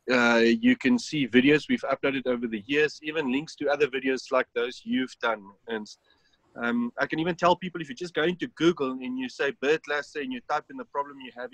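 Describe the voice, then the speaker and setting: A man calmly demonstrating an app to an online audience. His voice sits at an ordinary middle pitch, and the sound is dry and close. He explains step by step, filling pauses with ums. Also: dry recording, poor audio. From South Africa. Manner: explanatory, step-by-step with frequent fillers. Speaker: app developer giving a demonstration. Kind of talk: webinar walkthrough. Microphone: online call.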